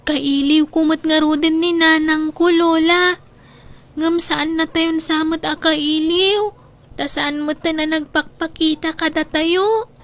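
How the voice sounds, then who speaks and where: A woman playing a young granddaughter in a radio drama, plaintive and yearning in her drawn-out lines. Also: very high voice, fairly even intonation, fairly bright tone, exaggerated voice. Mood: plaintive, concerned.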